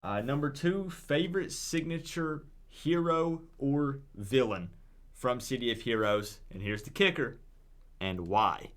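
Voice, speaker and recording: A man hosts a live call-in stream in a medium-pitched voice. He talks casually and directly to his audience, with an informal, encouraging way of drawing them in. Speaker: livestream host.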